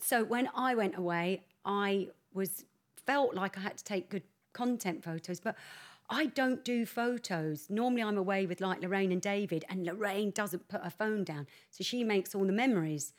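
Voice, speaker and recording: A middle-aged woman from England, co-hosting a podcast with her daughter, telling stories in a playful, animated way. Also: medium pitch, normal speed. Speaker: podcast co-host, host's mother. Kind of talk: casual chat.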